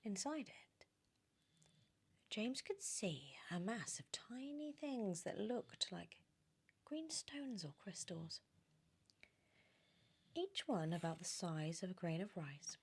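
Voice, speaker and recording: A British woman reading a storybook in ASMR style, drifting between soft whispers and expressive, animated speech. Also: mid-range voice, slow pace, pauses often, husky. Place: on a sofa indoors.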